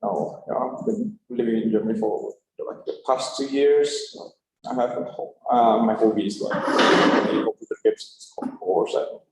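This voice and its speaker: A man's voice: mid-range voice, measured pace, a little hiss or hum. A man in his twenties, an alumnus guest on a talk show, chatting casually and self-deprecatingly. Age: 20s. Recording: echoey room with crowd.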